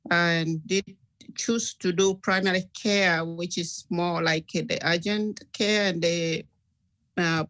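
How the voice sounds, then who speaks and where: A woman from South Sudan, telling her life story as a panelist over a video call in a measured, step-by-step way. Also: lowish voice, a bit bright.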